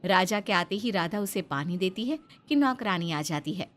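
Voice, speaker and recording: A woman's voice: mid-range voice, lively intonation, moderate pace. A woman reading scripted narration for a story as its narrator.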